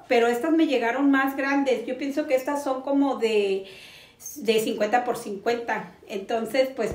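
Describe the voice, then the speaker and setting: A woman's voice: on the high side, darkish tone. A woman, chatting enthusiastically to camera as she hosts a casual home product review. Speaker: host of a home decor channel. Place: living room on a sofa, indoors. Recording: roomy echo.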